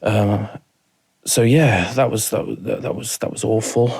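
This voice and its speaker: A man with a Welsh accent from rural mid Wales, in his thirties, telling a painful personal story as a podcast guest, halting and repeating words as he goes. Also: lowish voice, fairly even intonation, creaky, darkish tone, slightly rough.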